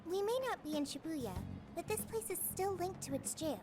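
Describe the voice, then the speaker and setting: A woman's voice: very high voice, lively intonation, normal speed. An actress playing an earnest young female companion in a video game, polite and matter-of-fact. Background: game music.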